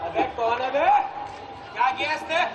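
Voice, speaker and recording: A man's voice: extremely high pitch, moderate pace. A man playing a brash, cocky police officer in a comic stage play. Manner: cocky, challenging. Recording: distant echoey hall with crowd noise.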